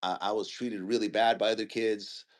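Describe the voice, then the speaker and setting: A man's voice: fairly low pitch, fairly even intonation, normal speed. A man in his forties from Ukraine who grew up in Northern California, talking casually with frequent restarts and fillers. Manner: rambling, with many restarts and fillers. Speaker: guest interviewer and media host. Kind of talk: casual audio chat room conversation.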